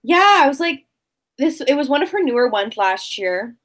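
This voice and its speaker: A woman's voice: high-pitched, sing-song, moderate pace, fairly bright tone. A woman author joining a casual book chat over a video call as a guest, easygoing, with short agreeing replies and light laughs.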